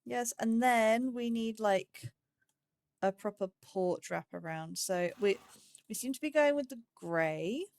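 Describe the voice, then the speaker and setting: A woman's voice: a little high, slow pace, darkish tone, no echo. A woman giving casual, chatty let's play commentary, thinking aloud with playful, cutesy wording.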